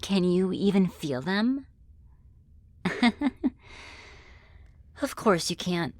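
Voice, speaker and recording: A woman's voice: on the low side, sing-song, measured pace, a little mellow, no room sound. A woman voicing a friendly, teasing female demon in an ASMR roleplay, with casual, politely menacing delivery. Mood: gently teasing. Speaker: roleplay voice performer. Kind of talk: ASMR roleplay, scripted one-sided dialogue.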